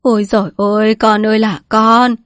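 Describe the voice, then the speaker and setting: A woman's voice: on the low side, steady tone, normal speed, a little mellow, dry recording, clean studio sound, natural voice. A woman voicing a dying old village patriarch, bitter and sentimental, who laments and scolds in a weakened voice. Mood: anguished. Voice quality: weak, breathy, trembling delivery.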